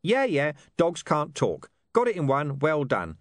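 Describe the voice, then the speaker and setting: A talking stray dog for a storybook, high-pitched and very animated. The mutt is cheeky, sardonic and out for money, talking in dismissive street slang. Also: male voice, measured pace, no room sound. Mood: sardonic, pushy.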